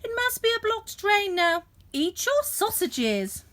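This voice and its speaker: A woman voicing a giant's wife in a storybook, brisk and scolding. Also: very high voice, sing-song, a bit bright, voice pushed up, heavily acted. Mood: dismissive. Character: dismissive middle-aged giant's wife.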